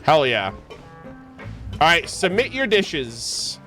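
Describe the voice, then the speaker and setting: A man streams a video game with a bright, forceful voice that sits high and moves around a lot. His commentary is casual and slangy, with frequent swearing, and faint music plays behind him. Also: slow pace. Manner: slangy, swears freely, excitable. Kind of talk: casual live gameplay commentary, reading game text aloud.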